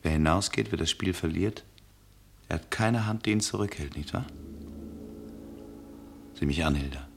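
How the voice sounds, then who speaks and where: A grave man in a radio play, pressing and insistent. The voice is very deep and creaky, with faint noise behind it. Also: normal speed, long pauses. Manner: insistent, probing. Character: grave, pressing man demanding an answer from a woman. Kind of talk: German radio drama acting.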